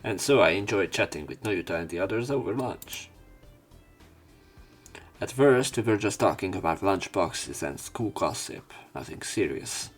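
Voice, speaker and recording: Low-voiced man reading a visual novel over music. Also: expressive, normal speed, slightly rough. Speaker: let's play host.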